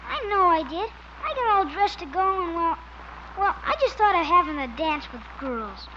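A woman's voice: very high-pitched, expressive, normal speed, pushed and bright, heavily acted. A woman playing a bashful, earnest youngster in a 1950s radio comedy, speaking hesitantly. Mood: bashful, apologetic. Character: bashful, earnest youngster, shy around parties and sweethearts. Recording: muffled old radio recording with faint noise.

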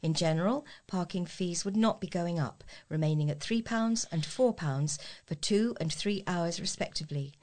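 A woman's voice: lowish voice, normal speed, few pauses, darkish tone. A woman reading scripted local news as a volunteer newsreader.

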